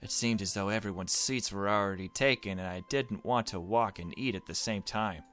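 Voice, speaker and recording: Male let's play host, lowish voice, reads game text aloud with casual asides over music. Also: moderate pace, fairly bright tone. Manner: casual self-commentary between read passages. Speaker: gaming video host.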